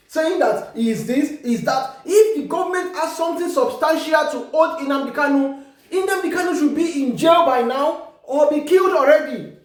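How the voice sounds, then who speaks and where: A woman's voice: high-pitched, darkish tone. A Nigerian woman from Ekiti with a Yoruba accent, speaking English as a second language and giving an emphatic opinion monologue with frequent repeated words and restarts. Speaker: online commentator. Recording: very echoey room.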